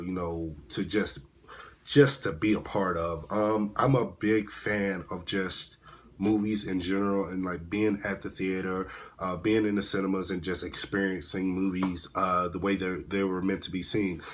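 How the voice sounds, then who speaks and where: A man hosting his own movie review show, speaking hoarsely in a rambling, halting way with frequent restarts. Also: deep voice, slight background noise. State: hoarse, voice at risk of cracking. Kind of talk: casual spoken movie review.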